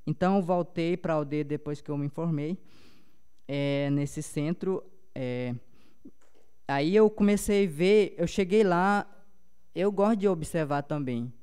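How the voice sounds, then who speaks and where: A young man with a Paumari accent, a native Paumari speaker, giving a halting talk at a panel with many restarts. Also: a little high, warm tone, clean tone.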